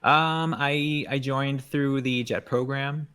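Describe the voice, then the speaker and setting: A man's voice: medium pitch, steady tone, slow pace, sharp tone, smooth. A man from Puerto Rico whose first language is Spanish, chatting loosely as an interview guest with many fillers. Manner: loose, rambling, heavy with fillers. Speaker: guest translator. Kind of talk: casual interview chat.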